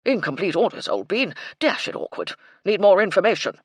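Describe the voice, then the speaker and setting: A man's voice: sits high, big pitch swings, a little fast, slightly rough, no echo, studio quality, voice pushed up, exaggerated voice. A woman voicing a doddering, eager old British colonel in a storybook, with blustery, clipped upper-class military speech. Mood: eager, gallant. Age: older.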